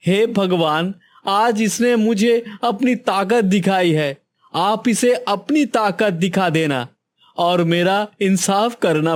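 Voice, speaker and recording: A man's voice: sits high, pitched above the performer's own voice. A man voicing a poor, frightened fisherman who speaks through tears in a Hindi cartoon. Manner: tearful, pleading.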